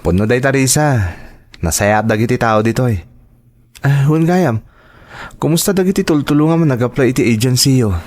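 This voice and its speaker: Young Filipino son, earnest and reassuring, mid-pitched male actor, natural audio drama dialogue. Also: expressive, moderate pace, long pauses, darkish tone, natural voice. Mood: eager. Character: earnest, reassuring grown son planning to work abroad.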